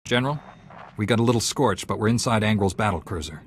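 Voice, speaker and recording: An actor playing an earnest, determined young heroic knight in a sci-fi video game. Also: male voice, lowish voice, lively intonation, quick pace, soft background music, natural voice. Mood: resolute. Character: steadfast, heroic young male Jedi knight. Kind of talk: scripted video game dialogue.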